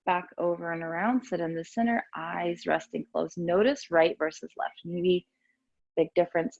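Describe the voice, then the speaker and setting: A woman teaching yoga and meditation, giving gentle, soothing, unhurried guidance with pauses between instructions. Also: lowish voice, vocal fry, no room sound, highs cut off.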